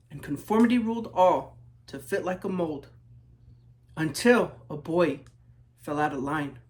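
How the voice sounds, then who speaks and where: A man from New Mexico reading his children's book aloud in measured rhythm, as a storyteller talking to young listeners. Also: high-pitched. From New Mexico, United States.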